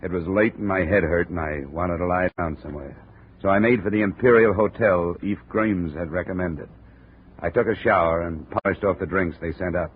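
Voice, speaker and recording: Actor as terse tough-guy investigator, deep voice, old radio, faint hiss. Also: normal speed, phone-quality sound, natural voice. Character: hard-boiled male insurance investigator. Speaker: lead actor and narrator. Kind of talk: 1950 radio drama narration.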